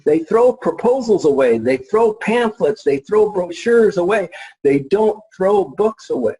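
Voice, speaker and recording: A middle-aged man informally presenting as a marketing expert, folksy and halting as he thinks aloud. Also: sits high, expressive, warm tone, poor audio. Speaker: marketing expert and author presenting his book.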